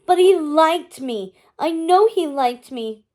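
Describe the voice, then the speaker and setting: A woman's voice: high-pitched, lively intonation, takes it slowly, darkish tone, voice pushed up. A woman voicing a hurt young stepsister in a storybook, plaintive and wavering. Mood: hurt, tearful.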